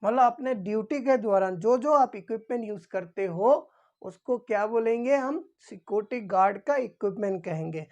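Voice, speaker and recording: A woman's voice: medium pitch, moderate pace, darkish tone, highs cut off. A woman instructor giving an informal explanatory lesson, repeating key points and answering her own questions.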